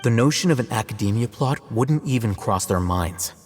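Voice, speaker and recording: An actor playing a calm, measured male academy scribe who explains things in a scholarly way in a video game. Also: medium pitch, close to an everyday voice. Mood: explaining. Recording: long reverb with game music.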